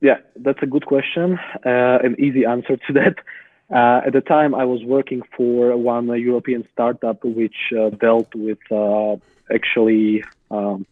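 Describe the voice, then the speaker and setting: A man's voice: medium pitch, dry recording. A young man from Slovakia with a Slovak accent, a guest explaining his research in casual chat, thoughtful with many fillers. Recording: tinny phone-like call audio.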